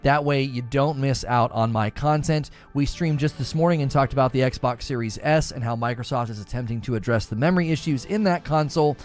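A man's voice: medium pitch, fairly quick, hardly any pauses, soft background music. A man hosting a casual livestream, chatting with his brother and telling rambling stories. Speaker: streamer and show host.

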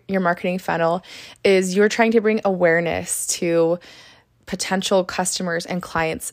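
A young woman hosting her own podcast, still a little hoarse from laryngitis, chatting in an upbeat way with fillers and quick self-corrections. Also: on the low side, dry recording, studio quality. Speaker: podcast host, marketer. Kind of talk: casual solo podcast talk.

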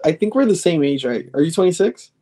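A man's voice: on the high side, expressive, fast, warm tone. A man in his twenties hosting a podcast interview, chatty and casual, often restarting his phrases with lots of fillers. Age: 20s. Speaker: podcast host.

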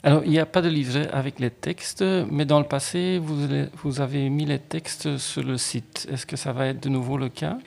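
Gravelly-voiced male interviewer. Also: mid-range voice, steady tone, moderate pace, hardly any pauses, a little mellow. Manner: courteous, formal questions, brief acknowledgements. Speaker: radio interviewer, host. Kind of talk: interview questions.

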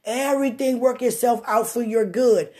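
Woman preacher, deep voice, animated testimony style, loud then hushed. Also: normal speed.

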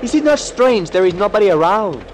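Old radio serial, anxious Spanish-accented reluctant hero, very animated, plaintive, slightly noisy vintage sound. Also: male voice, high-pitched, a little fast. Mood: nervous, puzzled. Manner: hesitant, plaintive, broken English. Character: excitable, anxious Spanish-speaking man, reluctant adventurer. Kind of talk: 1940s radio serial acting.

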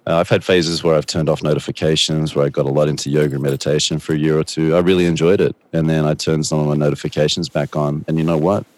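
A man's voice: a bass voice, level tone, fast, few pauses, a little mellow, no room sound. A middle-aged man, a guest, answering in a thoughtful, rambling way with a soothing voice and dramatic bursts. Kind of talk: reflective conversational answers.

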